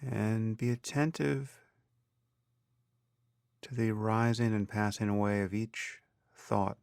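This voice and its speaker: A man guiding a meditation as a teacher, speaking calmly and deliberately in measured phrases with pauses. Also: lowish voice, vocal fry, clear, resonant tone, no echo, studio quality. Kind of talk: guided meditation narration.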